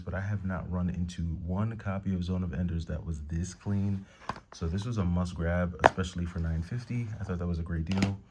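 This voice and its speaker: A man with a very low, rumbling voice keeps his speech hushed so he won't wake the house. He chats casually through his latest finds, with a faint hiss under the recording. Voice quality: deep rumbling voice. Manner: quiet, hushed talk to avoid waking the family. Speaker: retro game collector vlogger. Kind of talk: casual haul show-and-tell. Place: room at home at night.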